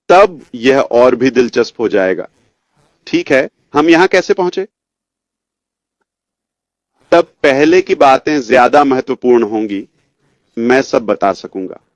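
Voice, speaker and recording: Male executive, fifties, medium pitch, slow informal motivational address to members, dry close sound. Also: no room sound. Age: 50s. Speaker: company chief executive giving an update. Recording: dull lo-fi audio, dry.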